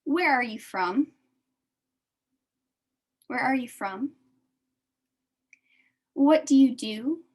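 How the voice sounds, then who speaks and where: Slow, careful American woman teacher. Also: sits high, animated. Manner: slow, careful, repeats phrases for learners. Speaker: English teacher. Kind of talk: slow English lesson for adult learners.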